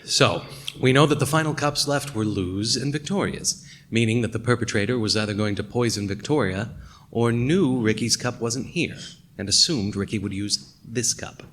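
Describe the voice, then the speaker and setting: An actor playing a wry, hard-boiled male detective in a noir radio play, with a dry, deadpan delivery. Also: mid-range voice, normal speed, slight background noise, natural voice. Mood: dry, probing. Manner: dry, world-weary, deadpan. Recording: music behind the voice.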